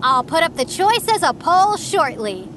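An actress playing a cocky, bubbly young demon-slaying streamer in an anime-style audio drama, showy and playful. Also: female voice, extremely high pitch, big pitch swings, a little slow, background noise, full character voice. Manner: showy, playful, addresses her fans.

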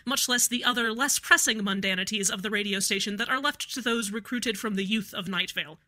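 A woman plays a fussy female android addressing a small desert town by radio, formal and exacting, with a quiet condescension. Her voice sits fairly high and is measured. She sounds like a careful machine that has run the numbers. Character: prim, precise female android speaking on radio. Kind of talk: fan audio drama acting.

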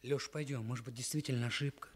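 An actor playing a calm, hesitant junior hospital orderly in a Soviet radio play. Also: male voice, mid-range voice, fast, close to an everyday voice. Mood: calm, doubtful. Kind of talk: Soviet radio theatre acting.

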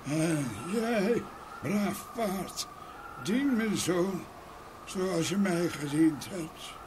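Fairy-tale role: ghostly old peasant father, high-pitched, solemn, halting, clearly noisy ambience. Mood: solemn, stern. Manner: slow, halting, drawn-out pronouncements. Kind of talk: audio fairy-tale acting. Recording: noisy ambient sound behind voice.

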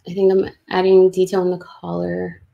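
A woman's voice: fairly low pitch, monotone, moderate pace, vocal fry, lo-fi sound. A woman illustrator giving informal, self-deprecating commentary on a drawing demo, explaining as she goes on a video call.

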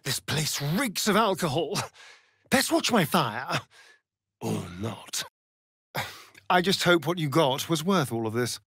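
A man's voice: fairly high pitch, very animated, slow, pauses often, fairly bright tone, husky, no echo, studio quality, full character voice. A man with a British accent voicing a vain, sardonic, seductive vampire rogue in a fantasy video game, with an arch, flamboyant, mocking manner.

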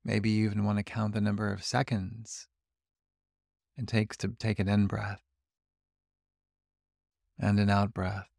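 Male meditation guide: lowish, creaky voice, calm and gentle delivery, leaving long pauses between instructions. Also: no echo, clean studio sound. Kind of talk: guided body scan meditation.

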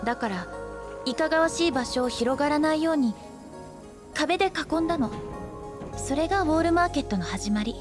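High-voiced, playful young woman. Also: expressive, brisk, pauses often. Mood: explaining. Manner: playful, teasing, breezy. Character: cheerful, playful young woman, flower seller and guide. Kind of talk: Japanese video game voice acting. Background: background music.

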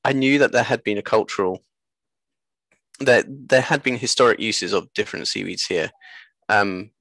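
Low, creaky-voiced man chatting. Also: normal speed, a bit bright, no room sound. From born in Japan, later moved away. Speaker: interview guest, seaweed business co-founder. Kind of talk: casual interview chat. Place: small room at home, video call. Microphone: headset on a video call.

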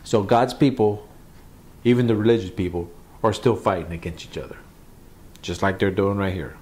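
A man's voice: fairly low pitch, normal speed, darkish tone. A man, a pastor, teaching from the Bible in a conversational sermon, repeating phrases for emphasis.

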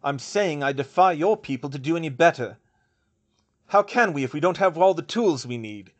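A man's voice: fairly high pitch, animated, normal speed, voice pushed up. A man voicing an angry male dignitary in an audiobook, snapping in an accusatory, demanding way.

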